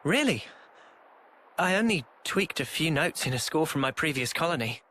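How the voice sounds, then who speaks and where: A man's voice: on the high side, sing-song, moderate pace, bright, forceful tone, a little hiss or hum. A man playing a modest, thoughtful young hero in a video game, with an earnest, questioning manner. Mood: curious, modest. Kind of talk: dramatic video game voice acting.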